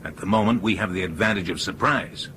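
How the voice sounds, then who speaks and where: An actor in a radio drama playing a cool, persuasive ringleader who speaks in an assertive, matter-of-fact way. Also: male voice, deep voice, brisk, pushed and bright, slight background noise. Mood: determined. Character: cool, persuasive male ringleader plotting a takeover.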